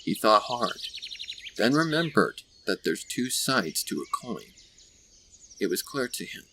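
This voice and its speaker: Low-voiced man narrating a story. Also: sing-song, measured pace, vocal fry, sharp tone. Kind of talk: scripted fan fiction story narration. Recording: faint background noise with birdsong.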